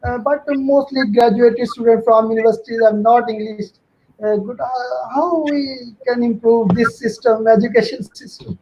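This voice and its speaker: A man's voice: very high-pitched, steady tone, normal speed, warm tone. Hesitant Pakistani man on a muffled call. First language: Urdu. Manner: hesitant, many "uh"s and repeated "yes". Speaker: student. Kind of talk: online tutoring session. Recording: muffled call audio with faint noise.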